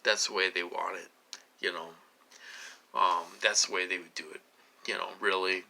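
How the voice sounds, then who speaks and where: This is a man of about forty-eight, with a somewhat low voice that has a strikingly tense, forceful edge. He rambles like a solo vlogger, stopping and starting. He often repeats a question or phrase before moving on. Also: level tone, creaky. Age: late 40s. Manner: repetitive, halting, dwelling on questions. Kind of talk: rambling personal monologue.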